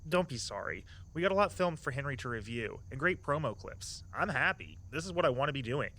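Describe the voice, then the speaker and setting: Guy playing laid-back young creative friend in an audio drama, casual chatty, gently earnest, mid voice. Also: mid-range voice, very animated, a little fast, exaggerated voice. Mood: upbeat, supportive. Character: easygoing, loyal young creative tour guide friend. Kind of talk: naturalistic audio drama dialogue.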